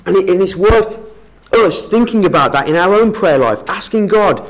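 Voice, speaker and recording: Lively, slightly high-voiced man preaching. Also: normal speed, rough recording. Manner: earnest, explanatory, with frequent restarts. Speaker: lay preacher. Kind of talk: Bible talk to a congregation. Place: meeting room with an audience.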